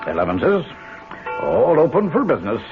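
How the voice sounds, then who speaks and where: An actor playing a chatty, gossipy village pub keeper in a 1970s radio drama, folksy and deferential. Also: male voice, mid-range voice, huge pitch swings, moderate pace, narrow, tinny audio, music in the background. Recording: thin, noisy old radio broadcast recording.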